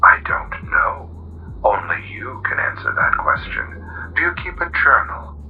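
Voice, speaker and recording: A lo-fi AM radio voice of an earnest male doctor hosting a call-in show. He sounds formal and patient, with very lively pitch and an announcer's delivery. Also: unhurried, gravelly, faint music behind, full character voice. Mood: patient, advising. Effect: AM radio filter. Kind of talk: radio advice show acting.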